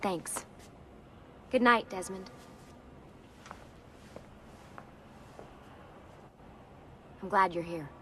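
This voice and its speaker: A woman's voice: medium pitch, unhurried, bright, forceful tone. An actress playing a worried, weary young woman leading a secret team in a video game, speaking earnestly and with emotional strain. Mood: gentle, tired. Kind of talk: naturalistic video game acting. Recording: clearly noisy ambient background.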